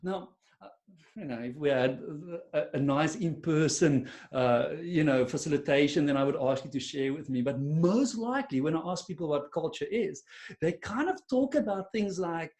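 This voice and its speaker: A man's voice: fairly high pitch, lively intonation, moderate pace, a little mellow. A man with an Afrikaans South African accent presenting a webinar on a video call, chatty and self-deprecating, with many fillers and restarts. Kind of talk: online webinar talk to a silent audience.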